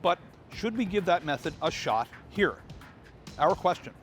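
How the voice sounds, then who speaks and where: A man's voice: fairly high pitch, big pitch swings, unhurried, faint music behind. A man reading scripted narration for a TV segment. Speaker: TV show narrator.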